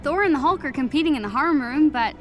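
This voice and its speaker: A woman's voice: sits high, animated, fast, a bit bright, soft background music. A woman playing a friendly, eager, slightly awkward teenage superhero in a video game, casual and earnest. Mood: warm, earnest. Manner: casual, earnest, giggly asides.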